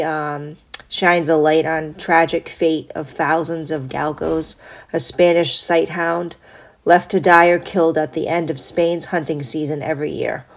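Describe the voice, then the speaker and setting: A woman with a low voice hosts her own podcast. She is stuffed up with a cold, and her nose sounds blocked. She reads items from a list in a steady, even way and drops in brief asides. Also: vocal fry. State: congested from a cold. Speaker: podcast host. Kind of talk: solo podcast reading a list.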